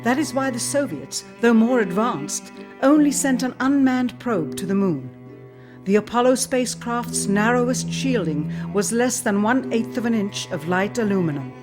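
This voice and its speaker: A woman reading scripted documentary narration in a formal, measured way. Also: on the high side, expressive. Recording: music behind the narration. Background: music bed.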